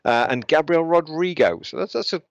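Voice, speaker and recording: A man co-hosting a casual motorsport podcast, chiming in with quick interjections and wry asides. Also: on the high side, expressive, no room sound. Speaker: podcast co-host. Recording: dry, dull lo-fi sound.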